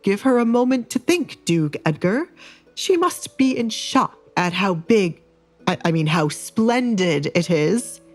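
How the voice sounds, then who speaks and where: A woman's voice: mid-range voice, animated, unhurried, close to an everyday voice. A greedy middle-aged father, played by a woman narrator, talks with fawning eagerness. He is ingratiating and blustering, in an audio drama over a music bed. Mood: flustered.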